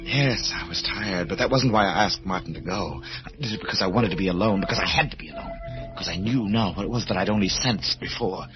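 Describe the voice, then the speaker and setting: An actor playing a desperate, obsessed and menacing man in an old-time radio horror drama, speaking with brooding, halting intensity. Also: low-pitched, over music, natural voice. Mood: haunted, intense. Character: desperate, obsessed, menacing male musician with a grafted hand. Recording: muffled, noisy vintage radio recording.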